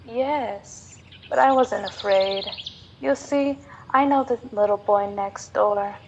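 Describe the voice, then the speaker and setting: A woman's voice: medium pitch, slow pace, vocal fry, darkish tone, dull audio, slight background noise. A woman playing a devoted, lovestruck young woman, earnest and melodramatic in an old-time radio drama. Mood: gentle, consoling.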